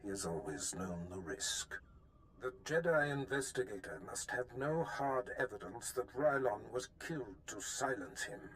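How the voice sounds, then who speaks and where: A man playing a cold, scheming Sith lord in a video game, measured, menacing and condescending, with rumbling noise underneath. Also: animated, lightly acted, rough recording. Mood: cold menace.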